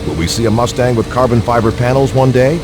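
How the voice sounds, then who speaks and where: A man's voice: mid-range voice, quick pace, music in the background. A man narrating a scripted car showcase for a racing game in a polished, promotional announcer style.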